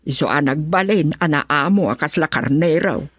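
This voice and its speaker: A stern Filipino mother in a radio drama. Her voice is on the low side and quite husky, recorded close and dry. She speaks with scolding, aggrieved emphasis, protective of her daughter. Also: normal speed, no echo. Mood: indignant recounting. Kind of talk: Ilocano radio drama acting.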